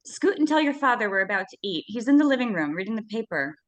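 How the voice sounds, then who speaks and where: Woman playing a lively, brisk radio-drama mom. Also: fairly high pitch. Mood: busy, dismissive. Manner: brushing off, matter-of-fact. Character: busy, brisk, dismissive housewife mother. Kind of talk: old-time radio suspense acting. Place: live stage radio-play performance.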